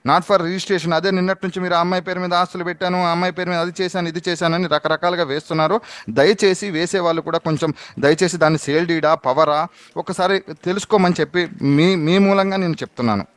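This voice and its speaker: A man's voice: sits high, fairly even intonation, fast, smooth, no echo. A man phoning in to a live show, explaining his side insistently and politely, often repeating himself. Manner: insistent, repetitive, polite pleading. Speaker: phone-in guest giving his side. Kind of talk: live phone interview, explaining. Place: on a phone call to a live TV show.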